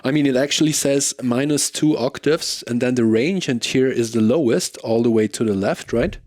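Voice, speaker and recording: Male gear reviewer, hesitant casual talk, bass playing clearly underneath. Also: normal speed, darkish tone. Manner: hesitant, many fillers and tag questions. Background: bass guitar music.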